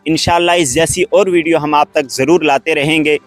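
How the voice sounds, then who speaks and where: A man's voice: a little high, steady tone, a little fast. A man hosting an online news update, reading scripted narration and commentary. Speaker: host of an online news channel. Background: background music.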